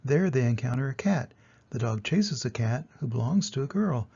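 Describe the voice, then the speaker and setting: An older man with a creaky, gravelly voice speaks to young grandchildren in a plainspoken storytime style. His delivery is mellow and soft-edged, with a husky grain. He addresses the children directly as he reads and explains. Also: mid-range voice, lively intonation, moderate pace, dull audio.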